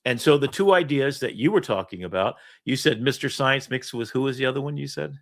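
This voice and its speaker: A man's voice: mid-range voice, a little fast, gravelly. A middle-aged man, the host, telling rambling stories in casual chat, laughing often. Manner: storytelling, animated, laughs often. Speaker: podcast host.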